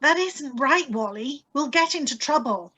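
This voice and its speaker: A woman acts a timid, conscientious wife in a radio thriller. Her voice is high, with a worried, hesitant and pleading delivery. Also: expressive, moderate pace, pushed and bright. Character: anxious, honest young wife, timid and worried. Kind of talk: radio play reading.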